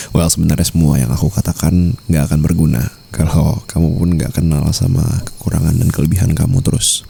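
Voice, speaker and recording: A man with an Indonesian accent playing a possessive, tender mafia boss lover in an intimate ASMR roleplay, murmuring in a soothing, teasing, gravelly voice. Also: mellow, heavily acted. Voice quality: deep, gravelly. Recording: faint background noise, night crickets.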